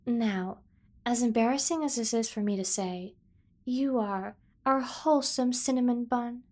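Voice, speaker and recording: Female actor as doting yandere kidnapper sibling for ASMR roleplay. Slightly high voice, earnest, fiercely protective, devoted to excess. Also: unhurried, a little mellow, lightly acted. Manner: earnest, over-the-top devoted lecturing. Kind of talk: scripted ASMR roleplay addressed to the listener.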